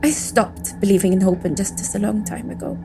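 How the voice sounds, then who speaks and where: A woman's voice: medium pitch, steady tone, a little fast, music in the background, close to an everyday voice. A woman playing an embittered, defiant agent in an audio drama, with a world-weary, intense, sardonic delivery. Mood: weary, grieving. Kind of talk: scripted audio drama monologue and dialogue.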